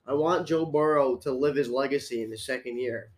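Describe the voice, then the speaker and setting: A man's voice: medium pitch, a little fast, poor audio. A young man from Connecticut with a New England accent, chatting casually about football on a podcast. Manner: chatty sports talk, laughs easily. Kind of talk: casual chat.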